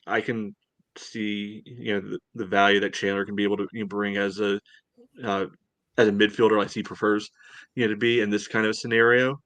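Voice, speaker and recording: A man co-hosting a sports podcast, chatting casually in a halting way with lots of filler phrases. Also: fairly low pitch, little pitch movement, a bit bright, no echo, poor audio. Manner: halting, peppered with "you know".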